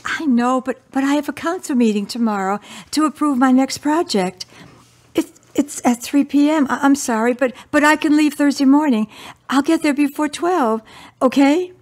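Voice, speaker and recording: A woman's voice: high-pitched, moderate pace, darkish tone, natural voice. A woman acting an affectionate but preoccupied middle-aged mother in a radio drama, hesitant and apologetic. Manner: hesitant, repeating words.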